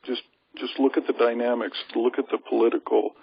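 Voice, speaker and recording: A man with a very gravelly, husky voice speaks with assurance, as if briefing a group. He is emphatic and likes to pose rhetorical questions. The sound is a dry, lo-fi conference line. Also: medium pitch, flat delivery, fairly quick, no echo. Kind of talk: informal briefing monologue. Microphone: telephone conference call.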